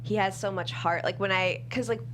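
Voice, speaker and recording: A woman's voice: medium pitch, steady tone, fairly quick, a bit bright. An American woman chatting casually as an interviewed screenwriter, informal and peppering her answers with "like". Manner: informal, heavy use of "like". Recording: noisy room with clear hum.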